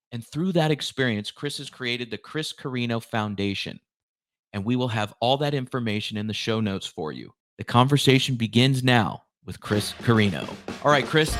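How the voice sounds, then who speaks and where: A man's voice: mid-range voice, a bit bright, no echo, clean studio sound. A man hosting a podcast, speaking as presenter and interviewer. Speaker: podcast host. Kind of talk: scripted podcast introduction, then conversational interviewing.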